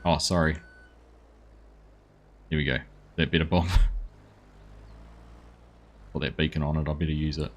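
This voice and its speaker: Husky low-voiced man streaming. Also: little pitch movement, measured pace, long pauses. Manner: chatty, reads out and thanks viewers. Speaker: game streamer. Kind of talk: casual live-stream commentary.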